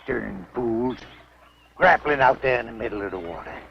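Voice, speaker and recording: A man's voice: medium pitch, animated, moderate pace, creaky. Folksy, wry swamp man. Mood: wry, scornful. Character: folksy, callous swamp local hunting bodies for reward. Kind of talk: 1950s B-movie acting. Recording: dull, faintly noisy 1950s film soundtrack.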